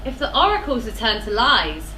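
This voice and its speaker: A woman's voice: fairly high pitch, sing-song, moderate pace, sharp tone. A woman playing a devout, solemn chorus member in a classical tragedy, declaiming earnestly on a theatre stage. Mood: devout fervour. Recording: echoey theatre, faint background noise.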